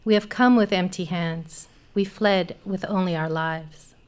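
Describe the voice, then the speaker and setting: A woman in her thirties, a poet from Afghanistan, reading poems and then speaking thoughtfully, with many pauses, into a studio mic on a small stage. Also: on the low side, steady tone, clean tone. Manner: thoughtful, hesitant with many fillers. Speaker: poet and literature teacher. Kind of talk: poetry reading and panel conversation. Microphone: studio mic on a stand.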